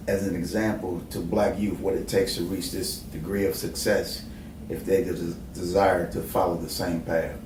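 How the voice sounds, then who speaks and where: Man, host, very deep voice, formal, halting with pauses, distant mic, echoey room, faint hiss. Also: a little mellow. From Bermuda, raised in New Jersey. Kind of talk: interview hosting.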